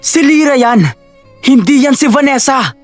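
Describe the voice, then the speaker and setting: A man's voice: very high voice, measured pace, faint music behind. A man playing a cruel, arrogant husband in a Filipino audio drama, with a taunting, theatrical delivery. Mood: panicked. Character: cruel, arrogant, sneering adult husband, a melodrama villain.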